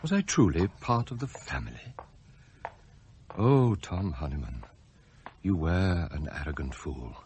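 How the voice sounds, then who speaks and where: An actor playing a proud, fussy English butler in a radio play, with formal, prim and deferential speech. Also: male voice, low-pitched, big pitch swings, measured pace, pauses often, a little mellow, a little hiss or hum, full character voice. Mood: anguished, confiding. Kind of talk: British radio play acting.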